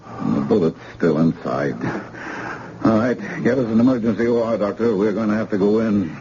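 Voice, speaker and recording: An actor playing a brisk, wry doctor barking orders in an emergency room in an old radio drama. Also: male voice, on the low side, mellow, natural voice. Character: brisk, cocky male hospital doctor. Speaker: surgeon. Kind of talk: 1950s radio drama acting. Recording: muffled old radio recording with hiss.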